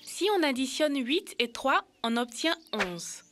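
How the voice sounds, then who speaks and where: High-voiced eager cartoon girl. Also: expressive, unhurried, a bit bright, faint music behind. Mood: concentrating. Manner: explains step by step. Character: Kibena, eager young girl learning to count. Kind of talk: French-dubbed educational children's cartoon.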